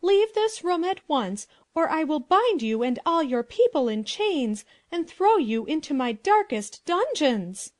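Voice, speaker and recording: A vain, imperious princess, speaks in a high voice with sweeping intonation. She is haughty and quick-tempered, in full storybook drama. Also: big pitch swings, a little mellow, dry recording, clean studio sound. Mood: furious.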